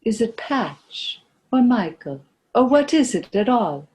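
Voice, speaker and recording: A woman's voice: on the high side, very animated, takes it slowly, darkish tone. A woman playing a grieving, weary old mother in a lyrical, lamenting stage drama performance. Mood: anxious, doubting.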